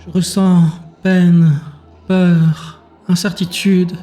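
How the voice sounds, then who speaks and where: A man voicing a gentle, suffering female creature in a telepathic audiobook scene, speaking softly in slow, halting single words. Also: sits high, level tone, faint music behind, voice pushed up. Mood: sorrowful, pained. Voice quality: soft.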